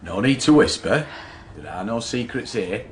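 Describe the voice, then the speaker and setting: An actor playing a surly, mocking old manservant with a Yorkshire accent in a radio drama. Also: male voice, lowish voice, very animated, moderate pace, a little hiss or hum.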